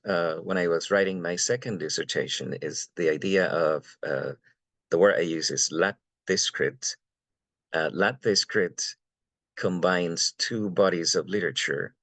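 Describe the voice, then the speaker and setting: Pria bersuara sangat rendah dari Venezuela. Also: male voice, low voice, a bit bright, dry recording. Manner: halting, many fillers and repeated words. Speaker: panelist. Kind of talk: academic panel talk.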